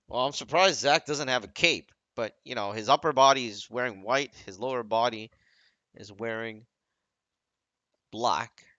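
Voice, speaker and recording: A man's voice: medium pitch, measured pace, pushed and bright, no echo, lo-fi sound. A man giving casual, off-the-cuff commentary over a video game.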